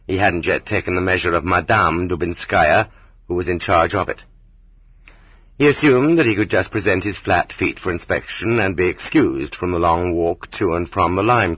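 A man reading an audiobook as scripted literary narration, in a measured, dramatic manner. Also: low-pitched, slightly rough, no room sound. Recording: slightly dull, muffled audiobook recording.

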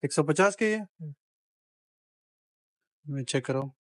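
A man's voice: a little high, animated, slow pace, no room sound, studio quality. A man giving a chatty walking-tour vlog of a street market, talking straight to viewers and bargaining with shopkeepers as he films. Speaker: travel vlogger.

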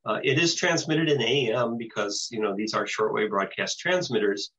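An older American man around seventy with a husky voice, giving an informal presentation in a halting, explanatory way over a video call. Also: medium pitch, fairly bright tone. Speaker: presenter, shortwave broadcaster. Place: small home room with bookshelves, indoors, on video call. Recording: dull, lo-fi video call audio.

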